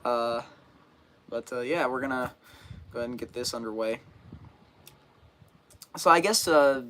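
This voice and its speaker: A man's voice: medium pitch, measured pace, fairly bright tone, a little hiss or hum. Teen male streamer, casual and rambling, a bit stuffy from a cold. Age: teens. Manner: rambling, many fillers and restarts. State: getting over a cold, sniffling. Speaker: fishing channel host. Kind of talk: casual live stream chat.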